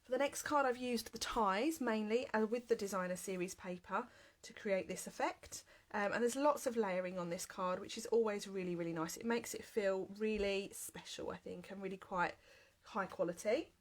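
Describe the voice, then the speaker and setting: A woman's voice: mid-range voice, normal speed. Lady with a bad cold, chatty crafting livestream from home, lots of ums, webcam mic. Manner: chatty and upbeat, frequent "um" fillers. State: has a heavy cold. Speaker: craft demonstrator. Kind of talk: live card-making class. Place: home craft room with shelves and windows. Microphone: webcam at desk, then overhead camera.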